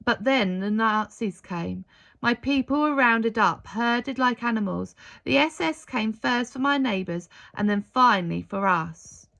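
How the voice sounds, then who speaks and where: An old woman as a female storyteller acts her out speaks with tearful, wistful feeling. Her delivery is breathless and halting, higher in pitch, with some creak, as in a heartfelt storybook tale. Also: lively intonation, a bit bright, heavily acted. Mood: sorrowful, remembering.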